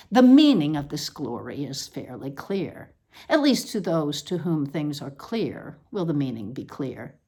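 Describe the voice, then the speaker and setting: A woman giving a deliberate, emphatic, declamatory reading of a scripted literary monologue, with measured phrasing. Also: fairly low pitch, darkish tone. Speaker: reader of a literary essay.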